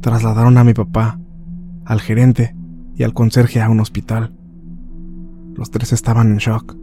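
Un hombre que interpreta a un hijo que relata con sobriedad una historia de terror en primera persona. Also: male voice, fairly low pitch, completely flat delivery, unhurried, natural voice. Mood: sober, recounting. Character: son soberly recounting his father's frightening ordeal. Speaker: narrator. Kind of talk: first-person horror story narration. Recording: music behind the voice.

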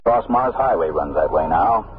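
A man's voice: sits low, lively intonation, moderate pace, background noise. A man playing a sober narrator recalling his childhood, in old-time radio dramatic narration. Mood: reflective, grave. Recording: tinny vintage radio recording with music.

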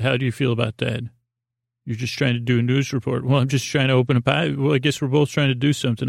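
A male podcast host improvises a silly bedtime monologue in a voice full of creak and fry. He wanders into tangents, repeats himself and talks as if to an imagined listener. The sound is very dry, with no room around the voice. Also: medium pitch, dry recording. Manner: meandering, repetitive, trails off into tangents.

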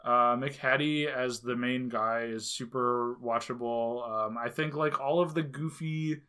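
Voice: mid-range voice, steady tone, a little slow, bright, forceful tone, clear, resonant tone. A podcast co-host chatting casually about a film, rambling with lots of "like" and "um". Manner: rambling, heavy on filler words. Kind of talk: casual movie review chat.

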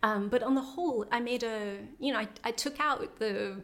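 A woman author answering interview questions in a thoughtful, hesitant way, pausing often with fillers as she searches for words. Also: fairly high pitch, fairly bright tone. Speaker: novelist being interviewed. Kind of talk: reflective conversational interview.

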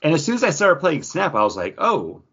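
A man's voice: a little high, huge pitch swings, a little fast, a bit bright, breathy edge. A man talking casually and emphatically about games as a podcast co-host, with some swearing, on slightly dull audio.

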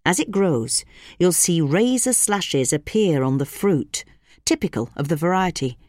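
A woman reading a scripted gardening article aloud in a measured, informative way. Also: lowish voice, lively intonation, a little mellow, no echo, clean studio sound. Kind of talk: scripted narration, read aloud.

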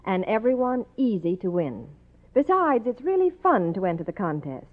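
A woman's voice: medium pitch, very animated, moderate pace. An American woman presenting a scripted 1950s radio commercial with polished enthusiasm. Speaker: commercial announcer. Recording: tinny vintage radio recording.

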